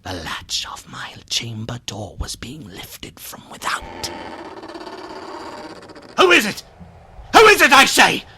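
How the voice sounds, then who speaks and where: An actor playing an anxious, theatrical gentleman who narrates a gothic radio play with solemn deliberation. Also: mid-range voice, wildly swooping pitch, background noise, heavily acted. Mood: alarmed. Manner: solemn, theatrical, deliberate, hushed then fervent. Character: gentleman narrator, anxious friend of a doomed nobleman.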